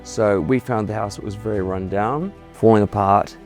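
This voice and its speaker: A middle-aged man, a homeowner speaking candidly in a relaxed testimonial interview, often restarting his words. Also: on the low side, moderate pace. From New Zealand. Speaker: homeowner giving a client testimonial. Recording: soft music underneath.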